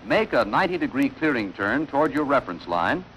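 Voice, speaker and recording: A man delivering formal, measured instructional narration in the style of a wartime training film, on an old film soundtrack. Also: mid-range voice, big pitch swings, fairly bright tone, a little hiss or hum, low-quality recording.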